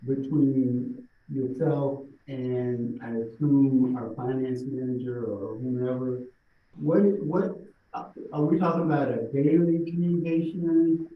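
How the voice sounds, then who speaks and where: A soft-spoken man, a board member, asking a halting question at a public meeting. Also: mid-range voice, steady tone. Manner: halting, searching for words. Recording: distant, echoey, phone-like sound.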